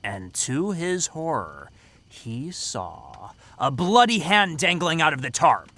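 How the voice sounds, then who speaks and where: Guy acting as cocky teen camp counselor, higher bright voice, dramatic storyteller, teasing. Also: big pitch swings, slow, dry recording, full character voice. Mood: suspenseful storytelling. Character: cocky teenage summer camp counselor telling a scary story.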